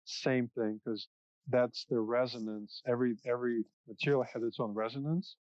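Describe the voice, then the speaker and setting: Casting note: male producer guest, mid-pitched, halting and full of restarts, dull, dry recording. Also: steady tone, darkish tone, no room sound. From Soviet Union, raised in the US. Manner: halting, frequent restarts while explaining. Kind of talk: technical explanation in conversation. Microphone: dynamic studio mic up close.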